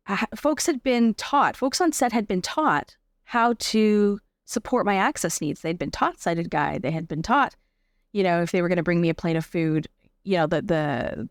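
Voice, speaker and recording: A woman speaking as an interview guest, giving a personal account in a reflective, measured and explanatory conversational way. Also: on the low side, no room sound, studio quality. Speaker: podcast guest, actor and advocate.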